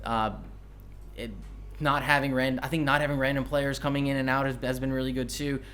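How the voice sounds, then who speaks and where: A man's voice: medium pitch, flat delivery, brisk, a bit bright. A man hosting a podcast, chatting casually and thinking aloud as he rambles. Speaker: podcast host. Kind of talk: casual chat.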